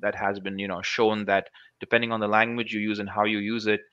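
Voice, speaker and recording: A man's voice: on the low side, little pitch movement, brisk, fairly bright tone, dry recording. Man on a dull headset video call. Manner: thoughtful, many restarts and fillers. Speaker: guest researcher. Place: small room at home.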